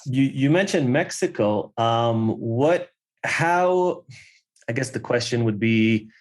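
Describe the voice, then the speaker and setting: A man's voice: mid-range voice, lively intonation, slow pace. A man hosting a podcast, talking in a casual, hesitant way as he searches for words. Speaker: podcast host. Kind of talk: casual conversation.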